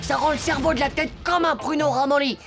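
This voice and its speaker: A woman voicing an excitable, cheeky ten-year-old schoolboy in a cartoon, talking in quick kid slang. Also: high-pitched. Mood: scheming. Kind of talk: cartoon voice acting. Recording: music bed under dialogue.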